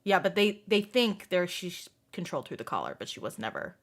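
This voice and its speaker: A woman hosting a casual book discussion, chatting in an animated way and voicing her own thoughts aloud. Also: lowish voice, brisk. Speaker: podcast host.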